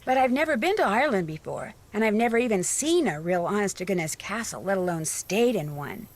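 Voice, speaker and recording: A woman's voice: medium pitch, lively intonation, a little fast, lightly acted. A woman playing an earnest, eager young amateur detective in a conversational, friendly scripted game narration. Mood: eager, adventurous.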